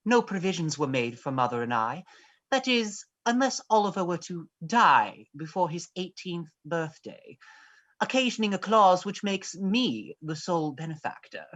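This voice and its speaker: A woman's voice: on the low side, big pitch swings, normal speed, a bit bright, lo-fi sound. A woman playing a bitter, scheming disinherited male heir in a stage play reading, speaking formally and with insinuation.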